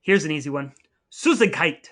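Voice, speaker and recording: A man's voice: on the high side, animated, normal speed, a bit bright. A man hosting a comedic podcast in a casual, playfully mock-stern and wordy way. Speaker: podcast host. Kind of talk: casual comedic banter, quizzing and signing off.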